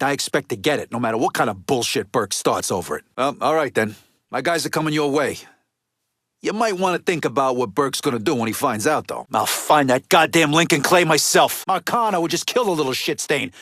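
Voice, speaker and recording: An actor playing a gruff, resentful mob lieutenant in a video game, with an American accent and a blunt, streetwise, foul-mouthed way of talking. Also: male voice, fairly high pitch, fairly bright tone, dry recording, studio quality. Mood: wary, businesslike, then vengeful. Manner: blunt, streetwise, heavy swearing.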